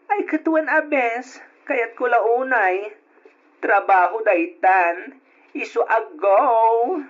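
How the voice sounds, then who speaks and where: A woman's voice: on the high side, lively intonation, slow. A woman playing a willing young student in a radio drama. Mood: willing, interested. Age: young adult. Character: willing young student looking for side work. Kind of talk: Ilocano radio drama acting. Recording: dull lo-fi old radio recording.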